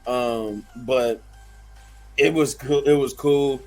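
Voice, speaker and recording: A man with a hoarse voice talks through a casual, loose review, trailing off and restarting often. His pitch sits in an ordinary middle range. Faint music plays under him. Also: fairly even intonation, takes it slowly, rough recording. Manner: rambling, many fillers and restarts. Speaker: wrestling reviewer.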